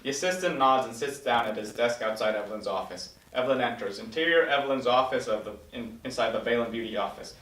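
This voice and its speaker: A man narrates the action of a TV script as scripted stage directions. His voice has a medium male pitch. It sounds roomy and slightly away from the microphone. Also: quick pace, hardly any pauses, a bit bright, recorded from a distance. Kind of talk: scripted narration at a table read. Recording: echoey room, slightly off-mic.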